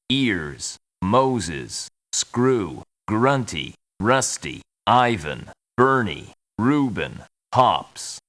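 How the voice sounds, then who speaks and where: An actor playing a surly, touchy mercenary in a video game, talking in a blunt, resentful manner. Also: male voice, on the low side, fairly bright tone, heavily acted. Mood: flat roll call. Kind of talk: video game character voice lines.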